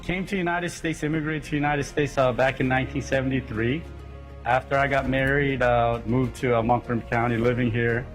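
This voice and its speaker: Older man, halting plain talk, restaurant interview, faint music. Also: medium pitch, little pitch movement. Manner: plain-spoken, halting with fillers.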